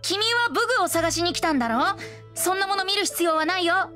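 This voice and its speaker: A woman playing a youthful male wandering bard in a game, breezy and gently teasing. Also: very high voice, lively intonation, brisk, over music. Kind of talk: Japanese game character acting.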